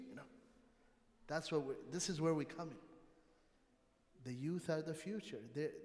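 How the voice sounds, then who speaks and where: A middle-aged man with a fairly high voice gives an informal religious talk in a reverberant hall. He grew up in Canada and speaks emphatically, in short repeated phrases broken by pauses. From born in Pakistan, grew up in Canada. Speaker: religious teacher at a mosque. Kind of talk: informal sermon to a congregation. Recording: reverberant hall, long echo.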